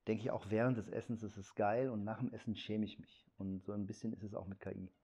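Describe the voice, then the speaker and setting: A man's voice: lowish voice, little pitch movement, quick pace, warm tone, slightly rough, dull audio. A man in his fifties, a photographer and director, talking bluntly and opinionatedly in an interview, seated indoors on a lapel mic. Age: 50s. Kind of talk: casual interview talk.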